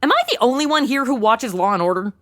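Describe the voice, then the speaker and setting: A woman's voice: fairly high pitch, animated, quick pace, a bit bright. An actress playing a brash, irreverent modern young woman in a comedic audio drama, sarcastic and chatty. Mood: snarky.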